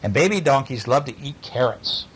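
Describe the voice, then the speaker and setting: Male, father chatting through a picture book with small kids. Coaxing, question-led, medium pitch, faint noise and clicks behind. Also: expressive, moderate pace. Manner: coaxing, praising and prompting with questions. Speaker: father reading to his young children. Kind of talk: casual picture-book chat with small children, asking questions. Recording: noisy home recording with clicks.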